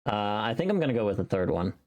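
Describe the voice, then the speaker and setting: A woman's voice: a bass voice, very animated, quick pace, a little mellow. A woman streamer chatting casually and thinking aloud while she works on voice recordings. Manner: thinking aloud, self-directed muttering. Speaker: streamer recording voice pack lines. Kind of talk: casual livestream chat while working.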